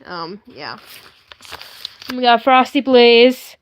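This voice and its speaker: A woman's voice: fairly high pitch, lively intonation, very slow, highs cut off. A woman hosting a casual livestream, rambling in a playful, silly way as she shows things off. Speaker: livestream host. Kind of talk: casual chatty livestream, showing handmade puppets.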